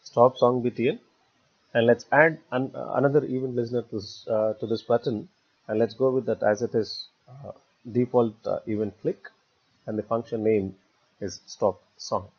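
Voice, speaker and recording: A man narrating a step-by-step screencast tutorial as an instructor, phrasing haltingly in short, repetitive chunks. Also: mid-range voice, slightly rough. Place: voice-over for a screen recording. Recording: dull, lo-fi voice recording.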